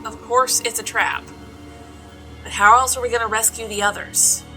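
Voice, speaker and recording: A woman's voice: fairly high pitch, steady tone, normal speed, bright, forceful tone, faint music behind. A woman playing a blunt, practical, impatient young adventurer in a fantasy audio drama. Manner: blunt, colloquial, encouraging.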